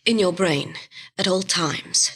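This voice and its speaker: A woman's voice: fairly low pitch, slow, a bit bright, slightly rough, full character voice. A woman acting an earnest, commanding character in a space drama. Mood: earnest, instructing.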